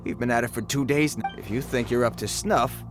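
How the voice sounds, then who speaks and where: A man's voice: medium pitch, brisk, over music, natural voice. A male actor playing a brash, slangy bandit in a video game, in a theatrical manner. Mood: mocking. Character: mocking, swaggering bandit, easily cowed.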